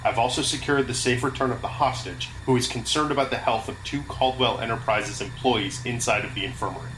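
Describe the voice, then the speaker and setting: A woman's voice: rumbling low, fairly quick. A woman playing an earnest, stubborn security officer in an audio drama, firm and official in delivery. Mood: businesslike. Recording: clearly noisy ambience behind voice.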